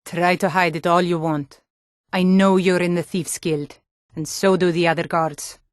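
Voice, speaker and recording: A woman playing a tough, suspicious female Nord city guard in a fantasy video game, with a blunt, gruff, challenging and wry manner. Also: lowish voice, fairly even intonation, unhurried, no echo, studio quality, natural voice. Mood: stern, threatening.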